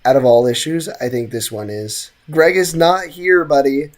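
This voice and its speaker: A woman with a remarkably low voice hosts a casual game stream. She reacts to the game and banters with viewers in a slangy, joking way. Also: moderate pace, a little mellow. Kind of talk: casual livestream chat and game commentary.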